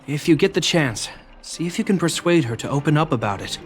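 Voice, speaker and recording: An actor voicing an earnest, composed younger brother in a video game, formal, measured and caring. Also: male voice, a little high, lively intonation, natural voice. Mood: concerned, gentle. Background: faint music.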